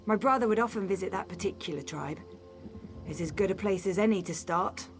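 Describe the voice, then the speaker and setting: A woman's voice: medium pitch, a little fast, lightly acted. An actress playing a headstrong, sarcastic young noblewoman on the run in a video game, defiant and wry. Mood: determined. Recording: game music behind the voice.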